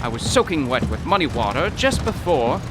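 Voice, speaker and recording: A man's voice: mid-range voice, very animated, normal speed, music in the background, lightly acted. An actor playing a nervous, bookish, college-educated man in an audio drama, speaking in a pompous, wordy, poetic way. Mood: baffled, earnest. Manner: pompous, wordy, flustered, literary diction. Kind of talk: audio drama acting in old-time radio manner.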